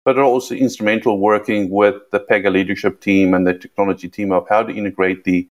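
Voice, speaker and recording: Deep-voiced South African man. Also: steady tone. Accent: South African, somewhat Americanized with a British touch. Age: middle-aged. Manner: measured, repeats words when starting phrases. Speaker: guest, tech executive. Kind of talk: podcast interview.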